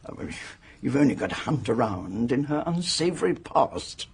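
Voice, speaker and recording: A performer playing a blunt, hearty spinster hotel guest in a radio mystery, forthright and emphatic with a husky voice. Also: male voice, mid-range voice, sing-song, normal speed, heavily acted. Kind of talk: radio mystery drama acting.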